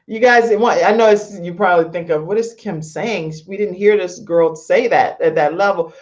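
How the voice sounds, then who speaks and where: A woman hosting a talk show in an animated, conversational style, effusive and emphatic, repeating phrases for emphasis. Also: lowish voice, moderate pace, highs cut off.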